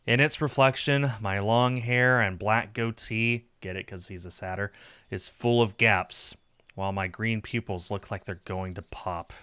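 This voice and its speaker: A man reading a visual novel game aloud as a streamer, with expressive narration and casual asides. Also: lowish voice, unhurried, clean tone, no room sound.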